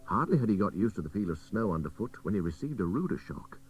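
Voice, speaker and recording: A man reading a literary adventure story aloud in measured, expressive, dramatic narration. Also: sits low. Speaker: story reader. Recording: old cassette radio recording, distant, faint hiss.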